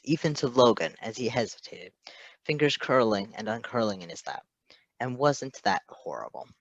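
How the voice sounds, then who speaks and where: A man's voice: mid-range voice, fairly bright tone, dry recording, dull audio. Man narrating a story, close and dry. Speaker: fan fiction reader.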